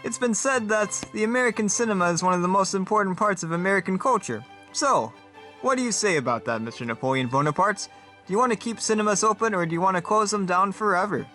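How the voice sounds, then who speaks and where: Man doing a high, bright, peppy debate moderator. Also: animated, fast, soft background music. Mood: upbeat, showy. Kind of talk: game-show style hosting.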